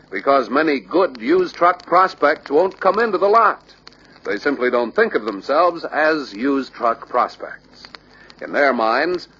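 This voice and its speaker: A man reading scripted instructional narration for a sales training film, deliberate and emphatic. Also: a little high, pushed and bright, a little hiss or hum. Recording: dull lo-fi old training film soundtrack.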